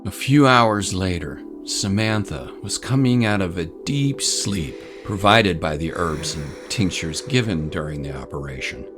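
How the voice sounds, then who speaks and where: A man's voice: low voice, expressive, a little slow, hardly any pauses. A man reading scripted story narration as the narrator of a tale. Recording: voice over prominent music bed. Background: music.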